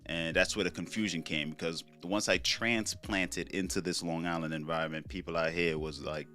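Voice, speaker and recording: Man, young adult, deep voice, relaxed rambling talk, lots of fillers, faint background music. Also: moderate pace. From Trinidad. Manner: laid-back, rambling, profane, many fillers. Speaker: podcast host. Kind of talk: casual solo commentary.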